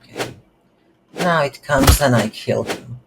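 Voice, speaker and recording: Slow, hesitant tutorial instructor. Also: medium pitch, creaky. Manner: hesitant, thinking aloud in short phrases. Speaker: game development tutorial instructor. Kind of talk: unscripted screen-recorded tutorial narration. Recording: faint background noise.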